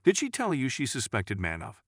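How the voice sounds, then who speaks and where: A man's voice: medium pitch, huge pitch swings, normal speed, husky, no echo, studio quality. A man voicing a determined, agitated male friend set on confrontation in a crime story. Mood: grim.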